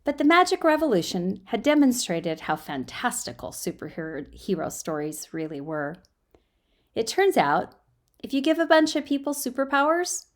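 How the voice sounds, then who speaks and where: A woman reading a story aloud as an amateur narrator, in an expressive, emphatic storytelling manner with occasional stumbles over words. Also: fairly high pitch. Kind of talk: dramatic audiobook-style fiction narration.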